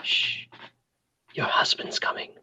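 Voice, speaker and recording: A woman playing a nosy, excitable neighbour on lookout in a table read, with a raspy, creaky voice. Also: takes it slowly, whispered. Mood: urgent, conspiratorial. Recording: muffled, distant microphone.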